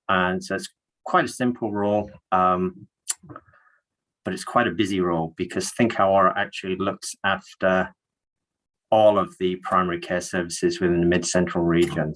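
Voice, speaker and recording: A man's voice: deep voice, a bit bright, poor audio. A middle-aged man with a northeast English accent introducing himself on a live webinar in a friendly, lightly joking way. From northeast England. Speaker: network development manager, webinar guest.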